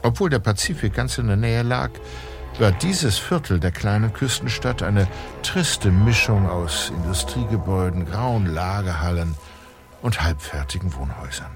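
Low-voiced man narrating a suspense story. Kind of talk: scripted audio drama narration.

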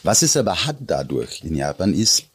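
Austrian guy, deep husky voice, expert explaining stuff, stops and starts but emphatic. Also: sing-song. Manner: halting, searching for words, emphatic. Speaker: interview guest, Japanologist and translator.

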